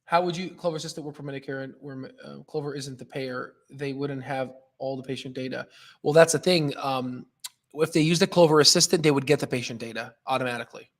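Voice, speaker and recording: A man hosting a live stock trading stream, talking casually and emphatically in an excitable, jokey way as he comments and answers chat. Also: medium pitch, fast talker.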